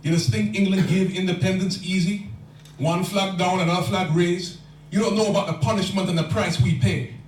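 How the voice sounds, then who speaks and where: A man's voice: sits high, fairly even intonation, normal speed, slight background noise, close to an everyday voice. A man putting on a bitter drunk Guyanese uncle. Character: drunk older male uncle, bitter and emotional. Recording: echoey hall from far off.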